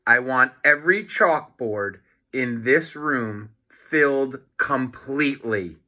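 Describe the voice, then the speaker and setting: Man as a stern, gloating school principal, bright-edged. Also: medium pitch, animated. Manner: growling, deliberate.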